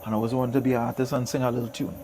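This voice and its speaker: A man's voice: mid-range voice, little pitch movement, racing, mellow, slight background noise. A middle-aged man with a Trinidadian accent reminiscing casually as an interview guest, in loose, informal phrasing full of affirmations. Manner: informal, peppered with "yeah man" and "you know".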